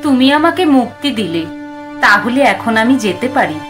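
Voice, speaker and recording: A woman playing the sorrowful young ghost of a landlord's daughter in an audio horror story, pleading softly and dramatically. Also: fairly high pitch, lively intonation, moderate pace, over music, lightly acted. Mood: tender, wistful.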